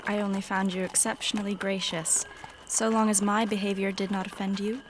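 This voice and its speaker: A woman's voice: mid-range voice, level tone, a little fast, a little hiss or hum, close to an everyday voice. An actress playing a guarded young noble bride in a fantasy audio drama, formal, demure and careful in her speech. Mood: demure, polite.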